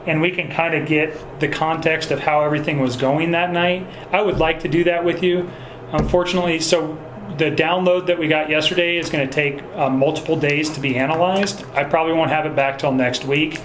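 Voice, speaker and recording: A man speaking as a police investigator in a phone interview, choosing his words in a hesitant, measured and polite way. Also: a little high, fairly even intonation. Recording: echoey recorded phone call with faint noise.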